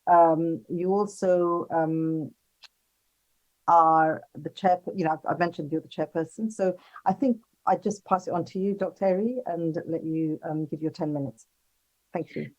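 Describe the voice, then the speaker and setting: A woman's voice: lowish voice, steady tone, a little slow, darkish tone, dull audio. A woman moderating a conference panel and introducing a speaker in a hesitant, stumbling way.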